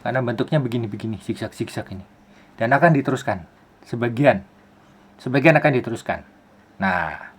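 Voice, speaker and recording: A man's voice: medium pitch, lively intonation, a little fast, long pauses, husky. A man giving a casual tutorial as a video host, explaining things with rhetorical questions and repeated phrases. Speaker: YouTube host, film soundman.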